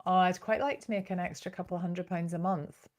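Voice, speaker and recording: A woman's voice: lowish voice, steady tone, a little fast, a little mellow. A woman with a Northern Irish accent giving an informal live training talk as host, chatty and given to storytelling asides. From Northern Ireland.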